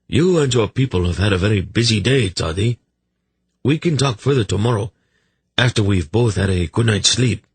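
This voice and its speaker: A man's voice: fairly low pitch, lively intonation, moderate pace, slightly rough, no room sound, pitched above the performer's own voice, full character voice. A man voicing a sardonic, plaintive young king with a mocking, theatrical manner in a fantasy audiobook. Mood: uneasy, confiding.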